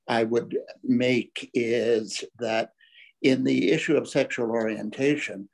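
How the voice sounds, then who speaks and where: An older man in a seniors group discussion, speaking in a measured, formal way and setting out his points in order on a video call. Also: on the low side, gravelly, a little mellow, husky, rough recording.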